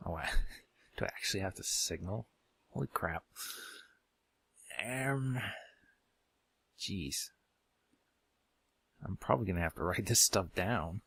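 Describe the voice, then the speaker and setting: A man's voice: low voice, animated, takes it slowly, gravelly, pauses often, a bit bright, husky, dry recording. A man giving casual, offhand gameplay commentary, muttering his reactions as he plays. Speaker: let's play streamer. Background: game music.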